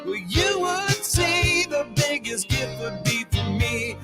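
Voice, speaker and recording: A woman singing a song in slow, sustained phrases. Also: sits high, loud music behind the voice.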